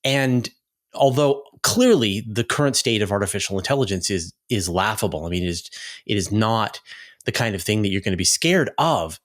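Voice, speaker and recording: A man with a fairly low voice speaks as an interview host. His delivery is conversational, and he feels his way through each thought with hesitant, searching phrasing. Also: lively intonation, normal speed.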